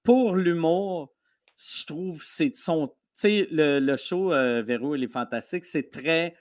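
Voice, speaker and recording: Animated Québécois comedian man. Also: on the high side. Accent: Québécois French. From Quebec. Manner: animated storytelling, fast with restarts. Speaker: comedian guest. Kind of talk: casual podcast interview.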